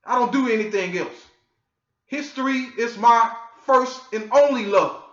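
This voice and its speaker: A man from the United States lecturing his viewers in a scolding, forceful way and often asking "okay?". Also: extremely high pitch, measured pace. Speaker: online commentator. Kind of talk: lecturing monologue. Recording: echoey, dull lo-fi audio.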